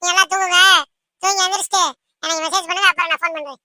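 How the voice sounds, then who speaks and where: Woman voicing a squeaky, anxious young cartoon bride-to-be. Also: fairly even intonation, rapid-fire, bright, forceful tone, dry recording, studio quality, full character voice. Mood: timid, hesitant. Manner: hesitant, fretful, repeatedly seeks reassurance. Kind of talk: amateur cartoon dubbing.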